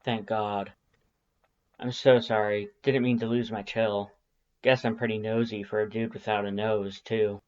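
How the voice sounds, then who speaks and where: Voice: mid-range voice, level tone, measured pace, a little mellow, lo-fi sound, natural voice. A narrator voicing a laid-back but anxious male skeleton monster, casual, slangy and apologetic. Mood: relieved, sheepish.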